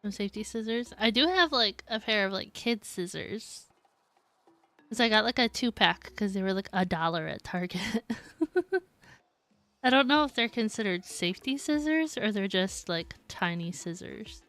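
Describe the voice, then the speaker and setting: A woman's voice: medium pitch, lively intonation, normal speed, a bit bright. A woman streaming a game who chats casually with viewers, giggling often and saying "like" a lot. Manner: chatty, giggly, lots of "like". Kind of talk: casual live-stream chat. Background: faint game music.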